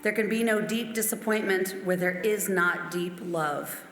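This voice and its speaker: A woman's voice: fairly low pitch, measured pace. Echoey woman reading quotations aloud. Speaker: church member reading. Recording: echoey hall, music underneath. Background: music.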